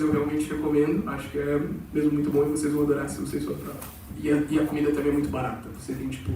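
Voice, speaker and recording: A young Brazilian man talks loosely to a student crowd, rambling and full of fillers. His voice sits a bit high and comes from far off the mic in a very echoey hall with faint noise underneath. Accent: Brazilian Portuguese, with some Portugal slang. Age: young adult. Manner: chatty, rambling, many fillers. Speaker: exchange student presenter. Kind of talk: informal presentation to students. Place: large auditorium.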